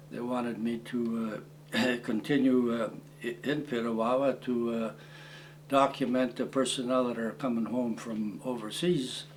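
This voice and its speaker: A man in his eighties, originally from Croatia, recalling his past in an oral history interview, speaking haltingly with many fillers and restarts. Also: medium pitch, slight background noise, poor audio. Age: 80s. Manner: plain, matter-of-fact storytelling. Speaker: war veteran interviewee.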